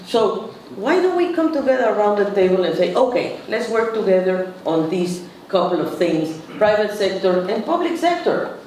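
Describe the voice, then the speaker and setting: A woman's voice: mid-range voice, a little mellow, a little hiss or hum. A woman in her sixties with a Latin American Spanish accent, a native Spanish speaker, giving emphatic conference panel remarks full of rhetorical questions. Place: conference room. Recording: echoey hall from far off.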